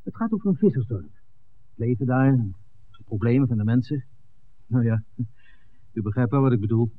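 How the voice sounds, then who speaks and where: An actor playing an eager, easygoing television director, speaking in a breezy, exclamatory 1960s Dutch radio drama style. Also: male voice, mid-range voice, animated, normal speed, narrow, tinny audio, close to an everyday voice. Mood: sociable. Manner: exclamatory, breezy, chuckling between phrases. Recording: thin, noisy 1960s radio recording.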